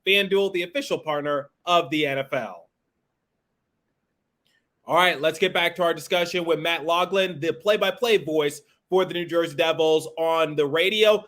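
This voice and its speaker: A man's voice: fairly high pitch, normal speed, fairly bright tone. An American man hosting a sports podcast, moving between scripted promo reads and conversational interview questions.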